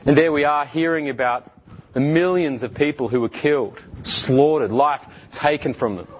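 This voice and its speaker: An Australian man in his thirties, a guest speaker telling his life story in earnest, halting speech full of fillers and restarts. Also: fairly high pitch, dull audio, slight background noise. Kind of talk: personal testimony talk.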